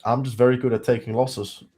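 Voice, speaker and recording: A man's voice: medium pitch, flat delivery, normal speed, a little mellow, faint music behind. A man with a heavy Belgian accent hosting a live trading stream, thinking aloud as he counts through trades and results.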